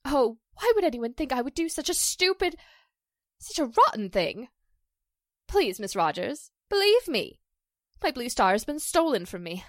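A woman playing a distressed, pleading stage actress in a 1940s-style radio drama, halting and emotional. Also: high voice, big pitch swings, a bit bright, no echo, studio quality. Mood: indignant, pleading. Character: distressed stage actress accused of a publicity stunt, pleading, wounded.

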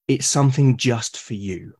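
A British man as a podcast guest, talking in a thoughtful, measured way with small restarts. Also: medium pitch, darkish tone, husky. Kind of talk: casual podcast chat.

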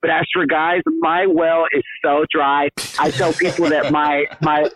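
A man's voice: on the high side, little pitch movement, a little slow, fairly bright tone, no echo, rough recording. A man in his thirties, a podcast guest chatting casually in a flamboyant, campy way, calling everyone honey. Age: 30s. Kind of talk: casual interview chat.

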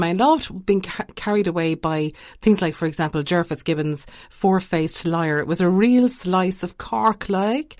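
A woman's voice: on the low side, dry recording, clean studio sound. An Irish woman chatting enthusiastically as a radio interviewer.

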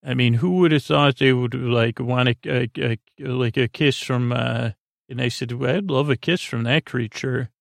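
A man hosts a bedtime podcast in a middling-pitched voice full of creak. He meanders, halts and repeats himself in a whimsical, self-deprecating way. The sound is dry, with no room around it. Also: steady tone, moderate pace, dry recording. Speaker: podcast host. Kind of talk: rambling, unscripted bedtime podcast monologue.

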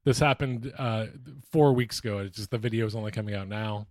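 A man's voice: lowish voice, brisk, darkish tone, no room sound, studio quality. A man co-hosting a comedic sports podcast in casual chat, with a mocking, profane streak. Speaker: podcast host.

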